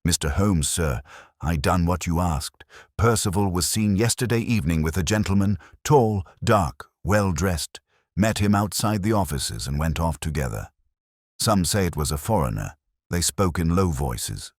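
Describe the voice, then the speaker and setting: Storybook street lad, played by a man: rough-spoken, eager informant, clipped reports, remarkably deep voice, animated intonation. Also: normal speed, dry recording, studio quality. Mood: hasty, eager.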